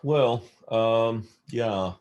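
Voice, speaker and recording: A mature man being interviewed, telling stories casually and reflectively in a measured, halting manner. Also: mid-range voice, a little mellow, highs cut off. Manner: hesitant, measured, halting delivery. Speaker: interview guest, theologian.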